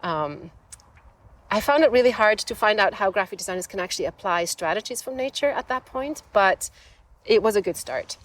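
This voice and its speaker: A woman's voice: medium pitch, expressive, normal speed. Enthusiastic woman from Switzerland. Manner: enthusiastic, storytelling. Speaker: design professor, guest. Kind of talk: conversational interview. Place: outdoors in a desert botanical garden.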